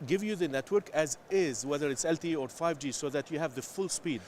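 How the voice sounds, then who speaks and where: A man's voice: fairly high pitch, a little fast, darkish tone, slight background noise. A man from Lebanon, a company founder, talking eagerly and loosely in a live trade show interview with many restarts. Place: busy trade show floor. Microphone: headset.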